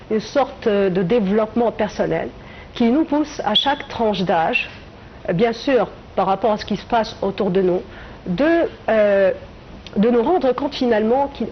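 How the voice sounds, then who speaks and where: Middle-aged tunisian-born woman guest, slow thoughtful answers full of pauses, dull audio with a bit of hiss. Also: mid-range voice. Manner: hesitant, reflective, many filler pauses. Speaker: writer and translator guest. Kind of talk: TV interview answers.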